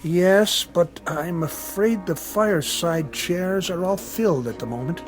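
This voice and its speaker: An obliging club attendant in a radio drama. He speaks slowly in a slightly high voice, careful and deferential. Music is clearly heard behind.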